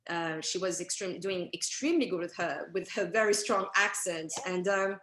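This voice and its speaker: French-accented actress, echoey room. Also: female voice, fairly low pitch, normal speed. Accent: French with British traces. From France. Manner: animated, chatty, lots of fillers and quoted dialogue. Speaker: actress and interview guest. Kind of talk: casual interview storytelling. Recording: roomy echo.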